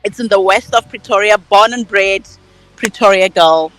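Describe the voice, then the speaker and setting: A woman's voice: mid-range voice, normal speed, faint music behind, rough recording. A woman in her thirties from South Africa with a South African accent, candidly telling her story as an interview guest. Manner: bright, candid, repeats words.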